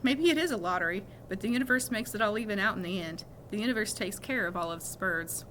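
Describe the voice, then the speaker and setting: A woman's voice: on the high side, bright, forceful tone, a little hiss or hum, rough recording. A woman reading a children's novel aloud expressively, now and then correcting a small slip. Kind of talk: read-aloud storytelling.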